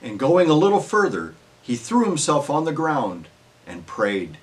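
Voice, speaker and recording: A man's voice: on the high side, huge pitch swings, measured pace, poor audio. A man reading scripture aloud as narrator in a formal storytelling way, his phrases falling at the end.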